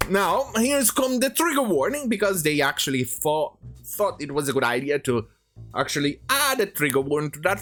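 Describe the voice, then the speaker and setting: A commentator delivers a mocking, improvised rant with very lively, swinging pitch. The delivery is sarcastic and rambling, with hesitant stretches, over music. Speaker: commentary host.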